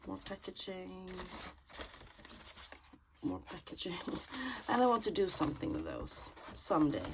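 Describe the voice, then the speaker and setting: A woman from Sweden with a Swedish accent, chatting casually in a crafting vlog, unhurried and full of ums and restarts. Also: on the low side, background noise. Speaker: junk journal creator.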